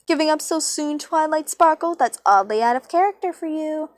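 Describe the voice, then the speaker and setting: A woman's voice: sits high, animated, moderate pace, voice pushed up. A woman voicing a mocking male chimera trickster villain, taunting and mock-polite, in an amateur story reading. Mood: smug, mocking.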